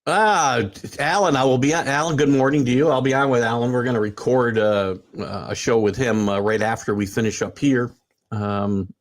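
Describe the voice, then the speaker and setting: A man in his sixties hosting a sports talk stream, rambling with many fillers and restarts, then building into emphatic rants as he answers viewer comments. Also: mid-range voice. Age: 60s. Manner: animated, rising into emphatic rants. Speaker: sports talk show host. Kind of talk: livestream chat reading viewer comments.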